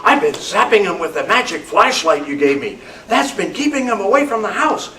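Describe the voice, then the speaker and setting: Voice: mid-range voice, sing-song, a little fast, bright, forceful tone. A performer voicing an imaginative, stubborn little boy in a live spooky radio play reading, with a whiny, dramatic delivery. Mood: excited, insistent. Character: little boy Ira, excitable, stubborn, imaginative, fearful of boogeymen. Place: club meeting room, live reading. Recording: roomy distant microphone, noisy meeting room.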